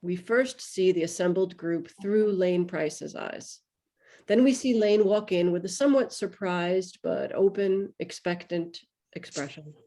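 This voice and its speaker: A woman's voice: on the low side, measured pace, warm tone. A woman giving scripted narration, reading stage directions for a table read.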